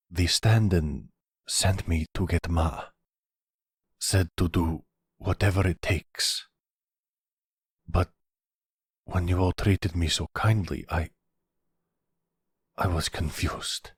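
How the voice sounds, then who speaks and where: Man playing a halting, guilt-ridden young man, very low. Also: husky, no room sound, clean studio sound, full character voice. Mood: ashamed, confused. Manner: halting, soft, apologetic. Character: guilt-ridden, timid young son, apologetic and broken. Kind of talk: ASMR audio roleplay drama.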